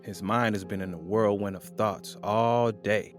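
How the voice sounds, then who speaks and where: A man narrates an audio drama in the present tense. His voice sits fairly low, and music plays clearly behind him as he reads the scripted scenes. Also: steady tone, a little slow.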